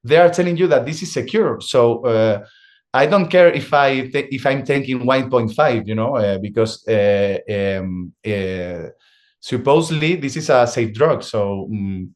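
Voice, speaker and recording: Spanish-accented man, halting and searching. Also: medium pitch. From Spain. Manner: halting, searching for words with many restarts. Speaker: guest. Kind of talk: conversational interview.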